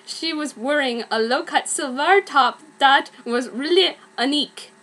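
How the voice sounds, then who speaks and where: A young woman with a high-pitched voice performs an amateur dramatic reading. She goes slowly and deliberately, delivering clumsy fan writing exactly as written. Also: pushed and bright. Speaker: amateur fanfiction reader.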